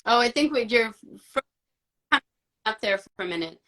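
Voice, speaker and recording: A woman's voice: fairly high pitch, expressive, unhurried, a bit bright. A woman hosting a live online interview, welcoming her guest and guiding the talk over a video call. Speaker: host.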